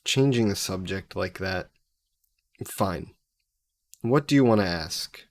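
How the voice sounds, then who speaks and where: A man plays an ancient, possessive demon trapped in a necklace, a sly figure who taunts with a mocking, theatrical air. The voice sits low and unhurried. It sounds close and dry, with no room around it. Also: lively intonation, dry recording, exaggerated voice. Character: centuries-old male demon bound in a necklace, sly, possessive. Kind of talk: audio roleplay to the listener.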